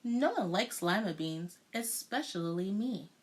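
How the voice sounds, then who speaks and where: A woman's voice: mid-range voice, animated, measured pace, close to an everyday voice. A woman voicing a stubborn, embarrassed young girl in a children's storybook. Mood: defiant.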